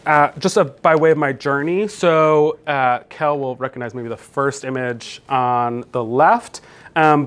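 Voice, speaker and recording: Conversational man giving a keynote. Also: mid-range voice. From New Jersey, US. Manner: conversational, many fillers and restarts. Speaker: keynote speaker, professor. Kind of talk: conference keynote talk. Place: conference room.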